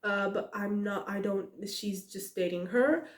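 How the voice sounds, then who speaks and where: A woman's voice: medium pitch, unhurried, echoey room. A young woman in a casual rambling monologue, giggling often and filling with many "uh"s and restarts. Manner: giggly, many fillers and restarts, excited asides. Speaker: podcaster talking about a book.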